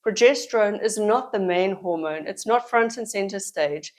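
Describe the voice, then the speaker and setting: A middle-aged woman explains something to the camera, her voice a little croaky from a head cold. She leans on key words and repeats them for emphasis, in a warm, steady teaching manner. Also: mid-range voice, normal speed. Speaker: women's health educator.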